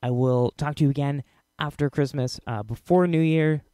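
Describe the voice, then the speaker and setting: A man's voice: fairly high pitch, moderate pace, mellow. A man hosting a podcast, giving a casual solo introduction. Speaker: podcast host.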